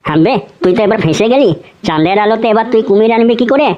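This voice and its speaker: A woman's voice: fairly high pitch, animated, quick pace, muffled recording, natural voice. A woman voicing a teasing, bossy schoolboy classmate in a comedy cartoon.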